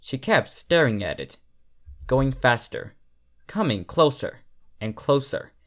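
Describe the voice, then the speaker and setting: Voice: medium pitch, big pitch swings, takes it slowly, a bit bright. A storyteller reading scripted fiction aloud as its narrator. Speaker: fan fiction reader, narrator.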